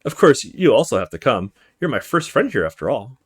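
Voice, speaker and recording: A man voicing a bubbly, excitable rich young woman in a game, with a gushing, playful manner. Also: mid-range voice, a little fast, slightly rough. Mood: warm, eager.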